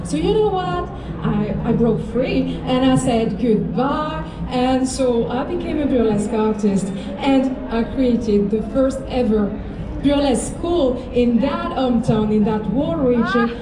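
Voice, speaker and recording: A woman's voice: sits high, lively intonation, unhurried. A woman contestant telling her story on stage in a defiant, emphatic way. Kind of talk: stage speech. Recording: echoey PA sound, crowd behind.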